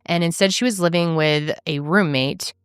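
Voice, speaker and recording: A woman with a low, smooth voice hosts a true crime show and tells the case in a casual, earnest way. She stresses words with conviction. The recording is dry and close to the mic. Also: normal speed, no room sound, faint music behind. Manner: earnest, emphatic, conversational. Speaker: true crime podcast host. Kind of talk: casual storytelling narration.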